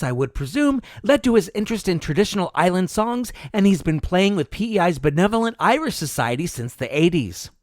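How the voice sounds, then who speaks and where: Male music host with a high, animated voice, wry and chatty, close dry mic, faint music underneath. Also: no echo. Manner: wry, chatty, jokey asides. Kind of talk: scripted show narration.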